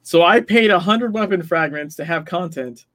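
A man with a fairly high-pitched voice talks through game details in a casual way. He explains as he goes and adds small hedging asides. The sound is very dry, with no room echo. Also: moderate pace, a bit bright. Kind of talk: casual gaming discussion.

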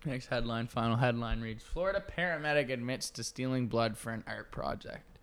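A man's voice: mid-range voice, brisk, fairly bright tone. A young man co-hosting a casual comedy podcast, rambling, swearing and laughing often as he reads out headlines. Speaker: podcast co-host.

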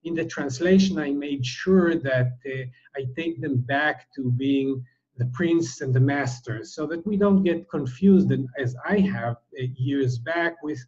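Man, middle-aged, husky mellow voice, hesitant guest with lots of ums, muffled recording. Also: fairly high pitch. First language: Hebrew. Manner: halting, many fillers and restarts. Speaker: guest, translator. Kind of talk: interview answer. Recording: dull, muffled sound.

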